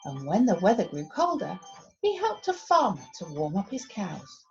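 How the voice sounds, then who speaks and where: A woman reading a children's storybook aloud as expressive narration. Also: medium pitch, normal speed, creaky, highs cut off, soft background music. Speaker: primary school story reader.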